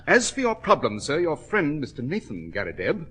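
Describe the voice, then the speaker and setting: A man playing a commanding, brisk, confident English gentleman detective, clipped and authoritative, in 1940s radio style. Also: a little high, wildly swooping pitch, slightly rough, heavily acted. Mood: brisk courtesy.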